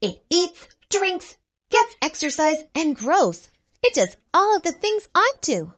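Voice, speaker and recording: A woman's voice: very high voice, big pitch swings, slow pace, fairly bright tone, pitched above the performer's own voice, full character voice. A woman voicing an eager, caring young cartoon puppy girl in a storybook, earnest and full of wonder. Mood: excited, proud.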